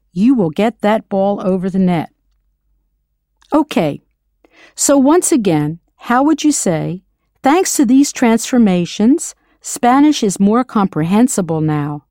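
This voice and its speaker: A woman whose first language is English, narrating an audio language lesson in a clear, encouraging way, slowing down to model pronunciation. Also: mid-range voice, sing-song, dark tone, dry recording, clean studio sound. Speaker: language teacher.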